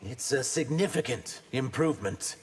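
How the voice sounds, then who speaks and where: A man's voice: medium pitch, animated, normal speed, natural voice. An actor playing an earnest, proud reptilian humanoid man in a video game, formal and sincere in manner. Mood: earnest, guarded. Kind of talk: video game character dialogue. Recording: hall reverb with background noise.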